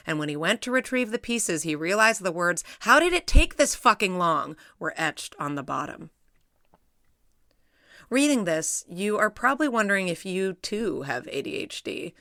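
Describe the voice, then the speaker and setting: A middle-aged woman, a psychologist, reading her own personal essay aloud in a measured, reflective, wry way. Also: on the low side, vocal fry, pushed and bright, dry recording, studio quality.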